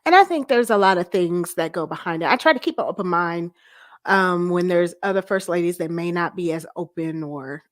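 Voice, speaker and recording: A woman's voice: fairly low pitch, dry recording, studio quality. A woman interview guest chatting casually and explaining her views in a candid way. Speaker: guest, church first lady.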